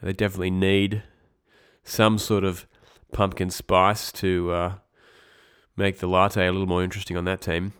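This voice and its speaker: Low-voiced stuffy-nosed man hosting a podcast. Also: flat delivery, normal speed, fairly bright tone, clean tone. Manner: rambling, self-correcting, wry asides. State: congested with a cold. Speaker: podcast host. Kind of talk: casual esports news podcast.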